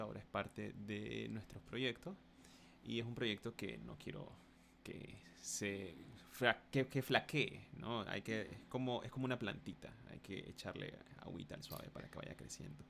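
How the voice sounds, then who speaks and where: A male podcast host with a Nicaraguan accent, speaking casually in a mid-pitched, gravelly voice with a bright edge. Some faint background noise sits behind him. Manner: frequent backchannel affirmations. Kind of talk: casual interview chat.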